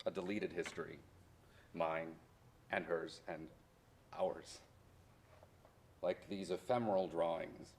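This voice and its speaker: An actor playing a history professor, the grown son of deported immigrants, reminiscing in a theatrical monologue that swings from hushed to loud. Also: male voice, sits low, steady tone, slow, long pauses, slight background noise. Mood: sorrowful. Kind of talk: dramatic monologue in a staged play reading.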